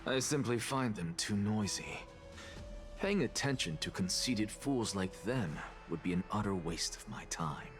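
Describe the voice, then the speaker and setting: An actor playing a proud, aloof male scholar in a video game, with measured, erudite and dismissive delivery. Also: lowish voice, animated, over music. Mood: aloof, scornful. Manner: haughty, measured, erudite diction. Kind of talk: scripted video game voice acting.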